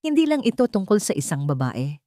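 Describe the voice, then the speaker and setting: A woman's voice: medium pitch, very animated, fairly quick, warm tone, no echo, studio quality, natural voice. A woman with a Filipino accent voicing a stern, suspicious man in a story, cold and restrained. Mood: grave, controlled.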